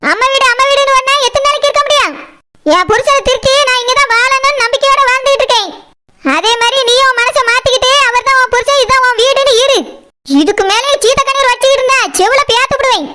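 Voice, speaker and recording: Woman playing a shrill, bossy village elder sister. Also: fast talker, bright, forceful tone, a little hiss or hum. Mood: stern lecturing. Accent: Kanyakumari Tamil. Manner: scolding, threatening, lecturing. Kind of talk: village comedy-drama cartoon dialogue.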